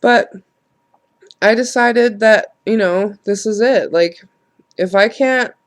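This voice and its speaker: A woman's voice: on the high side, takes it slowly. A woman, congested and nasal from a cold, rambling frankly through a casual vlog, swearing and laughing at herself. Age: late 20s. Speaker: vlogger.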